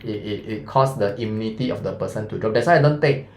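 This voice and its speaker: Malaysian guy in his 30s teaching science, lowish voice, roomy echo, lots of lah. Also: fast talker, darkish tone. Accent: Malaysian English. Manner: chatty, asks students questions, fillers like lah and mah. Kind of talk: classroom revision lesson.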